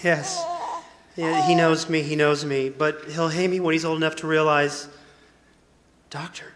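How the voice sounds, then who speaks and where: A man's voice: on the high side, fairly even intonation, unhurried, fairly bright tone. An actor playing an emotional young new father in a live radio drama, pleading and stammering. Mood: astonished, agitated. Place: live performance in a campus hall. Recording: echoey hall, faint music.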